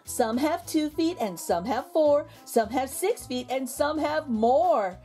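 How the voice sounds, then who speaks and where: A woman reading a picture book aloud to young children, with expressive, exaggerated intonation and drawn-out words. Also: high-pitched. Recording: background music under the voice. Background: music.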